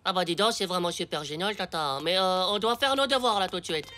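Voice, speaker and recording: A woman playing an excitable, dramatic young schoolboy in a French animated comedy, whiny and exaggerated. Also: fairly low pitch, fast, noisy background, close to an everyday voice. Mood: dismissive. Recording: loud music behind.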